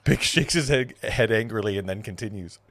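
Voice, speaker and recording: A man's voice: on the low side, a little fast, no room sound. A man reading stage directions as narrator at an amateur table read of a film script. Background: music.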